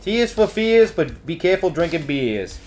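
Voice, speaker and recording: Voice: mid-range voice, big pitch swings, moderate pace, a little mellow. A co-host of a casual news show who rambles jokily through announcements, breaking into goofy exclamations. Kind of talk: casual comedic banter while reading event announcements.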